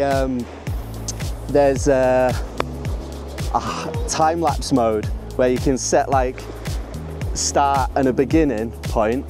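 A young man vlogging outdoors on a rocky beach with a clip-on mic, eager and rambling, laughing at himself. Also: mid-range voice. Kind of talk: excited casual vlog. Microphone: small clip-on mic.

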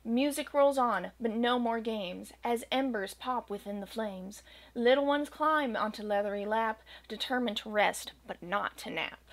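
A young woman reading a rhyming children's picture book aloud at home, with a gentle, expressive storytelling cadence. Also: on the high side, measured pace. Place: small home room with bookshelves and green screen.

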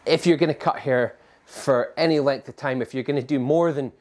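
A man's voice: mid-range voice, moderate pace, darkish tone. A man in his forties, a barber explaining scissors to camera in a barbershop, in a patient, practical teaching manner. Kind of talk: instructional talk to camera. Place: barbershop interior.

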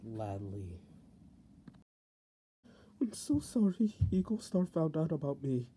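A pleading villain tomcat from a cartoon dub, acted by a man, very dark and muffled in tone with huge swings in pitch. There is some background noise. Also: very animated, slow pace. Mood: anguished. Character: pleading male cat antagonist, cartoon warrior. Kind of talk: fan comic dub audition. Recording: noisy muffled home recording.

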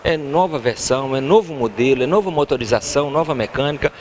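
A man's voice: mid-range voice, expressive, quick pace, a little hiss or hum. A middle-aged Brazilian man giving an informal promotional sales talk as a car dealership salesman. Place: large car showroom.

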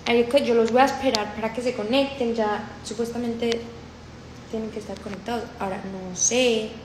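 A woman's voice: on the high side, steady tone, normal speed, echoey room, a little hiss or hum, poor audio. A woman in her twenties from Colombia with a paisa accent, chatting casually on a phone livestream and reading comments aloud. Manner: chatty, repetitive, mixes in English. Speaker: livestream host. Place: room indoors. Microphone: phone held close.

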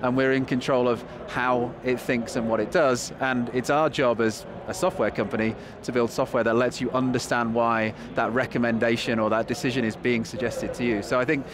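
A man in his thirties with a Northern English accent, answering interview questions quickly and keenly with some fillers, at a convention hall. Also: medium pitch, little pitch movement. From England. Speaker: guest, company chief executive. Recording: busy trade show noise behind.

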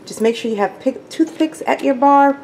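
Woman, casual vlogger, home bar tour, chatty, relaxed, fillers, handheld camera, faint room noise. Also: high voice, moderate pace. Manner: chatty, relaxed, many fillers like um and you know. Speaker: lifestyle vlogger. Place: home basement bar, indoors.

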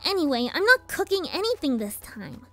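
Bratty little cartoon filly villain, high bright voice, sassy, defensive, scheming. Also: female voice, sing-song, moderate pace, faint music behind, full character voice. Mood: chatty, confident. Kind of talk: animated-style fan audio drama.

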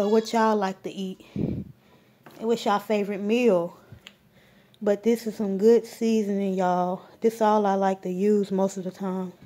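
Woman, casual home vlog, unhurried, soft dark tone, lots of ums. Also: mid-range voice. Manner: rambling, full of ums, warm shout-outs. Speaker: vlogger. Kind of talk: casual grocery haul vlog. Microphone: phone camera held while filming.

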